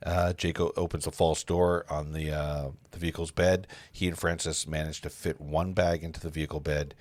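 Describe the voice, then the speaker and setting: Deep-voiced man narrating stage directions. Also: little pitch movement, moderate pace, no room sound. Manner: stumbling read, correcting himself. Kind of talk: amateur table read of a film script.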